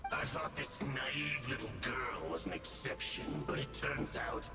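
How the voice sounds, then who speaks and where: A creaky-voiced, theatrical monster villain from a video game, full of contempt for humans. The delivery is deliberate and highly varied in pitch, with a strong echo and music behind it. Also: male voice. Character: arrogant, menacing abyssal monster villain, scornful of humans. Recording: echoing game audio over music.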